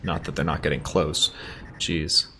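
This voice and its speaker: A man hosting a let's play, chatting casually and reading the game's story text aloud, his voice a little raspy from congestion. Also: rumbling low, measured pace, noisy background. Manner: relaxed, thinking aloud while shopping for upgrades.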